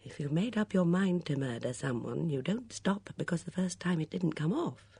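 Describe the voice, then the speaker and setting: A woman's voice: lowish voice, lively intonation, moderate pace, vocal fry, warm tone, slightly rough, no room sound, pitched above the performer's own voice, full character voice. Woman voicing a mild, murmuring elderly English spinster sleuth. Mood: musing. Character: shrewd elderly spinster sleuth, gentle, murmuring, absent-minded.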